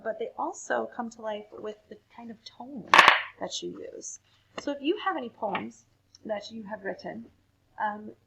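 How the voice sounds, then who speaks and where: A woman speaks as a teacher reading aloud to children. Her delivery is expressive and slow, with a husky, creaky voice at a medium pitch. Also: highs cut off.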